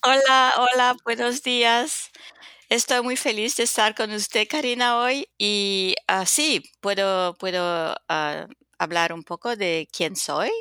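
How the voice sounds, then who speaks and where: Slow, gravelly older woman. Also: medium pitch, steady tone, bright, forceful tone, dry recording, clean studio sound. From North Africa, then Spain. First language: French. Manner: slow, thoughtful, with many hesitations. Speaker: guest author and consultant. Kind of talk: podcast interview.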